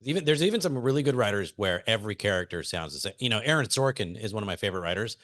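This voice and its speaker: A man's voice: lowish voice, lively intonation, rapid-fire, a bit bright, dry recording, studio quality. A man hosting a podcast interview, easygoing and laughing often as he steers the conversation with questions. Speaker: podcast host.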